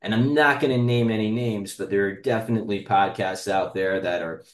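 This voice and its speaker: A man hosting a podcast in casual chat, haltingly circling toward his point, recorded with a roomy echo. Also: on the low side. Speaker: podcast host. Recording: roomy, echoey sound.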